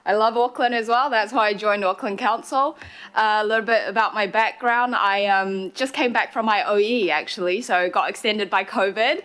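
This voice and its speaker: A woman gives a short introduction of herself to a meeting. She talks in an easy, casual way, with small pauses and fillers. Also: medium pitch, a bit bright, smooth. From New Zealand. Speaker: council communications staff. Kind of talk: brief self-introduction.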